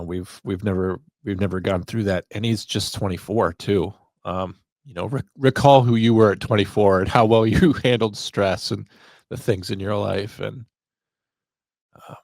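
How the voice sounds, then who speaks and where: Low-voiced man in reflective commentary. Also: no room sound. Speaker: podcast host and 911 dispatcher.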